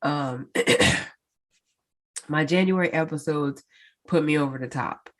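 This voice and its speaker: Solo podcast host, woman, stuffy-nosed and nasal, deep slow easy delivery. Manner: chatty, many fillers, addresses listeners directly. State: congested, stuffed-up nose. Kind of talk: casual solo podcast update.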